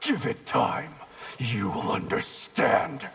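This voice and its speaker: Menacing ninja boss from a fighting game, super gravelly, slow, disdainful. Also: male voice, mid-range voice, very animated, fairly bright tone, full character voice. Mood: cold menace. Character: cold, menacing outlaw ninja clan leader. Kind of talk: video game fight banter. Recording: long reverb, clearly noisy background.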